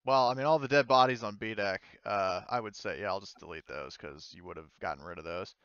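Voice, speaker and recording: A man with a smooth, lowish voice runs a tabletop roleplaying game. He talks informally and haltingly, thinking aloud. The sound is dry and slightly dull. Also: fairly bright tone, dry recording. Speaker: game master. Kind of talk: casual tabletop game narration.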